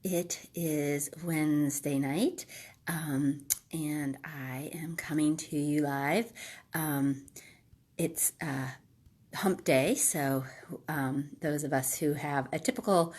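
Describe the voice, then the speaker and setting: A woman's voice: sits low, fairly even intonation, takes it slowly. A middle-aged woman, congested and nasal from allergies, hosting a casual live talk full of ums and restarts. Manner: hesitant, many ums and restarts. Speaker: live video host.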